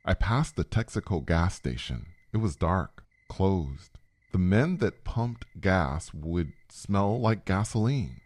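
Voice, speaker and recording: A man's voice: low voice, lively intonation, slow, a little mellow, no echo. A man narrating a suspenseful first-person story in short, dramatic phrases, as a scripted storyteller.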